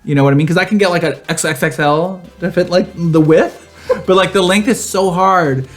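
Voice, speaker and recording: A young adult podcast co-host telling stories in casual, comedic chat, animated, sassy and full of slang. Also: medium pitch, a little fast, faint music behind.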